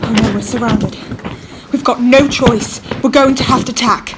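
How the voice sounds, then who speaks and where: A woman's voice: on the high side, steady tone, normal speed, very noisy, lightly acted. A woman acting in a radio play as a resolute, commanding captain of an Arctic expedition ship. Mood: urgent, commanding.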